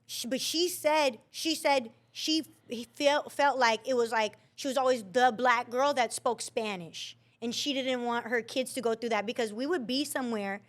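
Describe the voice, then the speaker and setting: A young woman hosts a relaxed conversation, nodding along with quick affirmations and telling family stories in a chatty way. Her voice sits a bit high. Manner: attentive, frequent affirmations, storytelling. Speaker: podcast host. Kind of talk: casual chat.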